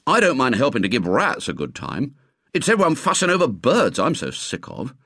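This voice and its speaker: A man's voice: medium pitch, wildly swooping pitch, fairly quick, pushed and bright, no echo, clean studio sound, voice pushed up, exaggerated voice. A man voicing a headstrong, indignant English schoolboy in a storybook, with an emphatic delivery. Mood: eager, fervent.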